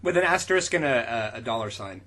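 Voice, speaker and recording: Animated, bright, raspy man with sarcastic asides. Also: medium pitch, fast. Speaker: podcast co-host reading fan fiction aloud. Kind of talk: amused reading with sarcastic riffing.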